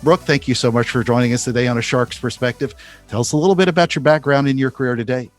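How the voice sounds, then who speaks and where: A man's voice: mid-range voice, steady tone, fast, faint music behind. A man hosting a podcast, moving between a scripted introduction and interview questions. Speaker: podcast host.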